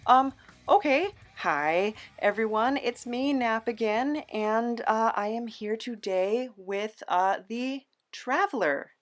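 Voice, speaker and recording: A woman's voice: on the high side, slow pace, soft background music. A woman hosting a casual comedic interview podcast, speaking in a hesitant, slightly awkward way.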